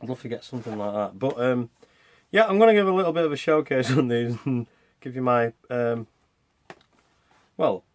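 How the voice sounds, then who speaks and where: A man's voice: mid-range voice, expressive, moderate pace, pauses often. A man hosting a game review with casual, rambling, self-deprecating commentary as he plays. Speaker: video game reviewer and channel host.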